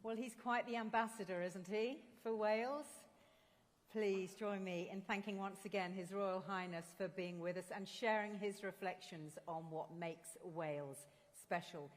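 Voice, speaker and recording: A woman hosting a conference, brisk and upbeat as she introduces the next speakers. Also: mid-range voice, steady tone. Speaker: event host. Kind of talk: stage hosting. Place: conference hall. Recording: long reverb in a large hall.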